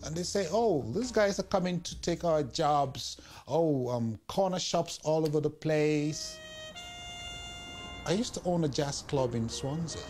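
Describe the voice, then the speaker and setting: A man from Nigeria, a playwright and director talking in an interview, halting and restarting as he goes. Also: on the high side, faint music behind. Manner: lively, halting with restarts and stumbles.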